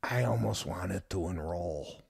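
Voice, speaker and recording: A man co-hosting a podcast, telling a story in casual chat and getting animated when amazed. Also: sits low, a little slow, vocal fry, husky, dry recording, studio quality. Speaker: podcast co-host.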